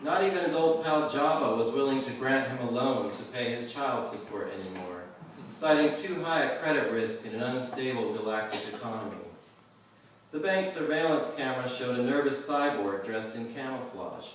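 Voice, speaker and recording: A man giving a live poetry reading in a measured, deliberate way, pausing at the ends of lines. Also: mid-range voice. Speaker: poet. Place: indoor reading venue with a live audience. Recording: very echoey room, distant mic, faint noise.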